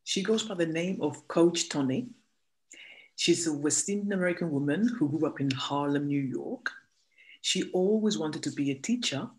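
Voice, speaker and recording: Interview host, mid-range pitch, slow deliberate intro, halting questions. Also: rough recording. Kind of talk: interview hosting.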